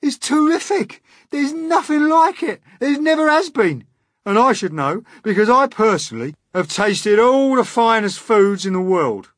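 A boisterous storybook centipede, brags in a high, husky voice. His delivery is exuberant and leaps all over the scale. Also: expressive, a little slow, a bit bright, no echo, clean studio sound, heavily acted. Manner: exuberant, bragging. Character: boisterous, boastful male centipede.

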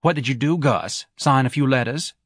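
A man voicing a wealthy middle-aged wife who needles her husband with sarcastic, drawn-out nagging. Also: mid-range voice, no room sound, studio quality, pitched above the performer's own voice, lightly acted. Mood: needling.

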